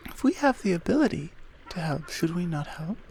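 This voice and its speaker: A woman playing a regal, centuries-old Amazon queen in an ASMR roleplay, speaking softly and formally with deliberate pauses. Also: fairly low pitch, big pitch swings, a little hiss or hum. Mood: grave, reflective. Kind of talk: ASMR roleplay audio drama, addressed to the listener.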